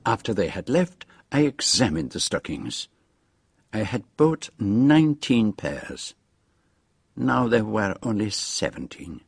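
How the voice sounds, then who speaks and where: A man's voice: fairly low pitch, sing-song, takes it slowly, vocal fry, husky, heavily acted. An actor playing an older male detective in a radio drama, formal and courteous, with a French-speaking European accent and polite hesitations. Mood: quietly dramatic. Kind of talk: BBC radio drama acting.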